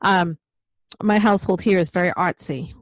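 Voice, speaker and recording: A middle-aged woman hosts the conversation, answering with quiet murmurs of agreement. Her voice sits a little low and sounds dry and close. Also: moderate pace, no echo. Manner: affirming, many soft backchannels. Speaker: host. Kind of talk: casual interview conversation.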